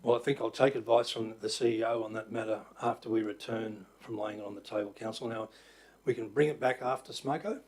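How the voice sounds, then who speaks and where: A man with an Australian accent chairing a council meeting as mayor, his voice croaky, talking in a hesitant, polite way with many fillers. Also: fairly low pitch, fairly even intonation, fairly quick, darkish tone. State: croaky voice after illness. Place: council chamber.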